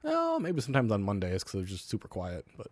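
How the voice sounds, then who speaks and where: A man's voice: fairly low pitch, huge pitch swings, fast, no echo. A man with an Albertan Canadian accent, hosting a livestream and rambling casually, with loose, profane asides. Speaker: livestream host.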